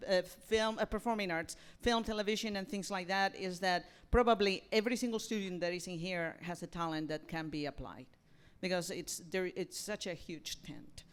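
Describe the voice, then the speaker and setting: A woman speaking as a theatre program director leading an informal feedback talkback, halting and rephrasing as she thinks aloud. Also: lowish voice, clean tone. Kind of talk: informal post-reading talkback with audience.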